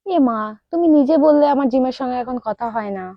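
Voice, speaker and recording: A woman's voice: high voice, expressive, fast talker, muffled recording, crowd noise. A young adult woman in a casual, teasing prank-call chat, pressing on persistently as the host and caller. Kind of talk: casual prank phone chat with asides to viewers.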